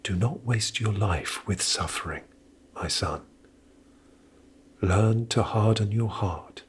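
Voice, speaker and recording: A man voicing a stern, cold-hearted gentry mother in a period audio drama, speaking in a hushed, deliberate way. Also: lowish voice, a little hiss or hum. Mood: cold counsel.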